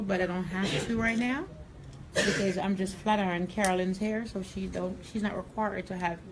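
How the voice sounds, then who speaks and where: A woman's voice: medium pitch, background noise. Blunt Jamaican woman stylist. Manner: blunt, direct. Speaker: hairstylist and educator. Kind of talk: live tutorial while cutting hair. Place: hair salon.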